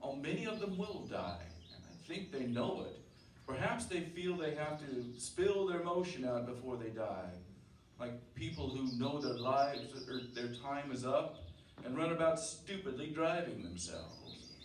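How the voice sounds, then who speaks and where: A man playing a brooding, uneasy married farmhand in an earnest, measured old-time radio drama style. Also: mid-range voice, expressive, natural voice. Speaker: actor, narrator and lead. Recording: roomy, distant mic, clear background noise.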